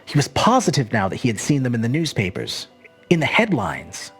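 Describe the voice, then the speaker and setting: A woman narrating a literary short story as an expressive storyteller. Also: extremely low pitch, a little fast, faint music behind.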